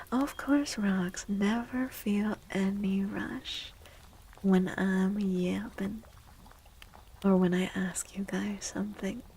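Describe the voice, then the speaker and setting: A woman streamer chatting in soft-spoken ASMR style, gentle and unhurried, often slipping into a whisper. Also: medium pitch, a little hiss or hum, low-quality recording. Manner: unhurried, gentle, pausing between short phrases. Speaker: livestream host.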